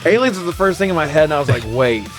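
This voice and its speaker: A man's voice: a little high, lively intonation, rapid-fire, soft background music. A man co-hosting a casual talk about movies and games, informal and sweary in his banter. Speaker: co-host.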